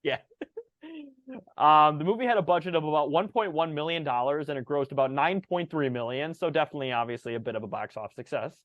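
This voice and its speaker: A man's voice: fairly high pitch, fast talker, no echo, lo-fi sound. Chatty man co-hosting a film podcast. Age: 30s. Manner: relaxed, filler-heavy, reads facts aloud. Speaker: podcast co-host. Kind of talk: casual movie review chat.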